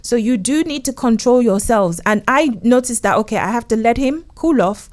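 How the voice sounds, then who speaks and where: A woman's voice: a little high, lively intonation, normal speed, a little mellow. Earnest woman sharing relationship advice. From England. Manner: earnest, tells stories, acts out her husband's lines. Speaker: relationship consultant guest. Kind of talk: casual conversational interview.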